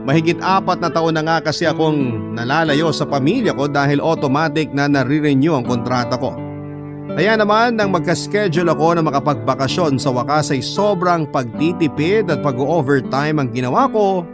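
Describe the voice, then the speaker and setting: A man's voice: a little high, lightly acted. A man playing a homesick Filipino seafarer, telling his life story in earnest, sentimental scripted narration. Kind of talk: dramatized first-person scripted narration. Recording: music clearly playing behind the voice.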